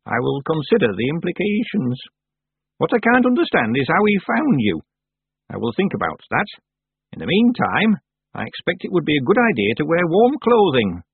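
Guy putting on a crabby old witch lady, husky, raised pitch, tinny phone-ish sound. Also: wildly swooping pitch, normal speed, no echo, full character voice. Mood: measured. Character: stern old witch woman, dry and sharp.

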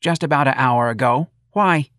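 A man's voice: medium pitch, normal speed, a bit bright, dry recording, studio quality, voice pushed up, close to an everyday voice. A man voicing an aggrieved older working-class mother in an audiobook, with plaintive, folksy, ungrammatical talk.

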